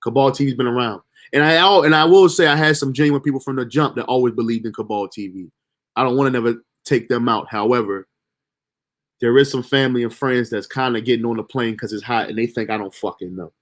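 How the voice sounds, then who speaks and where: A man's voice: mid-range voice, fast. Young male streamer, mid-range voice; casual, profane, self-motivating monologue. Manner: loose, slangy, motivational self-talk with profanity. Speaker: livestream host.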